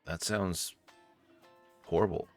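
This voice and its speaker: Low voiced dude doing a let's play, reading narration out loud, casual jokey comments, music behind him. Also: male voice, fairly even intonation, slow. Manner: joking, conversational. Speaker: let's player.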